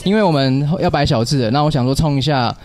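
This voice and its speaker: A man's voice: on the high side, quick pace, darkish tone, soft background music. A man with a Taiwanese Mandarin accent chatting casually and playfully as a radio show host.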